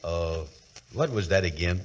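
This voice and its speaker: 1940s radio comedy: bumbling, easily cowed shop man, lowish voice, hesitant folksy delivery. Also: dull audio. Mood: worried, pleading. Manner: slow-witted, hesitant, stammering, ungrammatical folksy talk. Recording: vintage 1940s radio broadcast.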